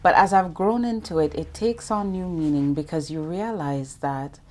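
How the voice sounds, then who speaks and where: A woman's voice: lowish voice, moderate pace, darkish tone. A middle-aged woman from Jamaica, a banking executive, talking reflectively as an interview guest and laughing often. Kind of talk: interview conversation.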